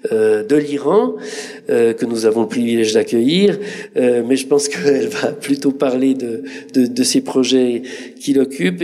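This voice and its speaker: A man's voice: medium pitch, dark tone. A man moderating a public panel discussion, formal and hesitant with many fillers. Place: public hall with audience. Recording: reverberant hall.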